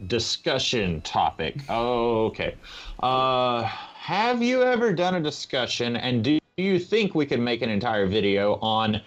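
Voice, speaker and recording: A man chatting casually as a panelist on a comics podcast, hesitant and halting in how he talks. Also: mid-range voice, lo-fi sound. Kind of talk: casual comic book discussion.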